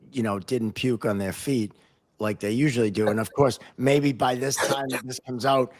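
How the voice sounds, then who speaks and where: A man hosting a podcast, chatting casually about hockey in a loose, halting way as he thinks aloud. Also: mid-range voice. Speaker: podcast host. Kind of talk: casual sports chat.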